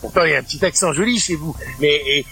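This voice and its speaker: A man's voice: fairly high pitch, gravelly, slightly rough, faint music behind, rough recording. A man in his fifties from northern France with a ch'ti accent, a comedian chatting in an interview clip. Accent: northern French (ch'ti). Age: 50s. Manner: chatty, animated, fast talker.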